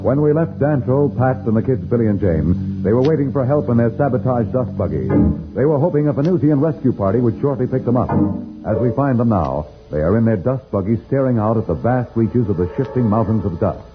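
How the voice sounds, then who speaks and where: Animated vintage radio-serial announcer, male. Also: mid-range voice, brisk. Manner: dramatic, suspenseful. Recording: tinny old radio, loud organ music.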